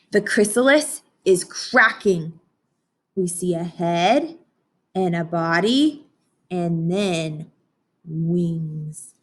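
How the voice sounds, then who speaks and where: Expressive woman reading to children. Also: fairly low pitch, breathy edge. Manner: expressive, instructive, with dramatic pauses and hushed asides. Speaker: teacher reading a picture book aloud to children. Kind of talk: children's read-aloud with explanations and questions to listeners.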